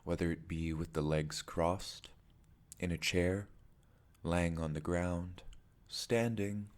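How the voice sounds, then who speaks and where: Male meditation guide, very low-pitched, unhurried and slow, deliberate phrasing with pauses. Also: fairly even intonation. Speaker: meditation teacher.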